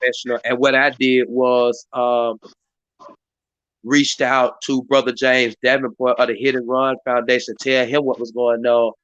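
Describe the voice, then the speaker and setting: Hoarse man hosting a stream. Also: medium pitch, little pitch movement, normal speed, fairly bright tone, no echo, lo-fi sound. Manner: rambling, many fillers and restarts. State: hoarse, voice partly lost. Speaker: community activist hosting a live stream meeting. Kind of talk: casual hosted talk. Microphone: live stream mic.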